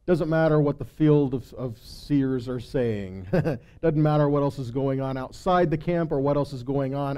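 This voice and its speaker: Mellow higher-pitched man teaching Bible study. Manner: conversational, frequently checks in with listeners.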